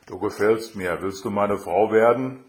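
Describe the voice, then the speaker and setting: A man voicing a big red enchanted crab in a fairy tale, a gruff and blunt suitor with a grumbling manner. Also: fairly low pitch, measured pace, lightly acted. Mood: gallant, forward.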